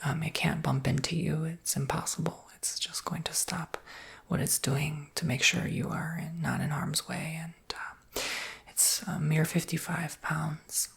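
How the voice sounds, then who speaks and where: Whispering American man, breathy and crackly. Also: a little high. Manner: soft, unhurried, reassuring explaining. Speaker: ASMR roleplayer as robot salesperson. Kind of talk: whispered ASMR roleplay, improvised sales pitch.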